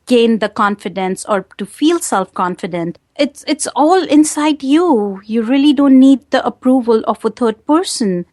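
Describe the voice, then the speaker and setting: A woman's voice: a little high, no room sound, clean studio sound. Earnest Indian-accented woman guest. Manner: earnest, measured, hesitant fillers. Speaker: guest, wellness coach. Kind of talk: interview answers.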